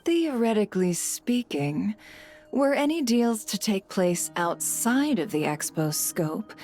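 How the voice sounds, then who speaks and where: An actress playing a poised, cryptic guild head in a video game, speaking formally and with measured courtesy. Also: female voice, medium pitch, sing-song, a little mellow, faint music behind. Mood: measured, firm. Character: poised, cryptic woman heading a secretive trade guild. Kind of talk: video game character acting.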